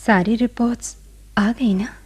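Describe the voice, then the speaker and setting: An actress playing a devoted, anxious wife in a Hindi radio drama, with a breathy voice. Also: female voice, fairly high pitch, fairly even intonation, a little slow, a little mellow. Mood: hushed, anxious. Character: devoted, anxious wife fearing for her husband.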